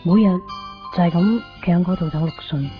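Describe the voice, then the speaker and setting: A woman's voice: fairly low pitch, fairly quick, lightly acted. An actress with a Hong Kong accent playing a wistful, devoted young woman searching for someone in a radio drama. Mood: wistful, determined. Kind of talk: radio drama first-person narration and dialogue. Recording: dull 1990s radio recording, faint music.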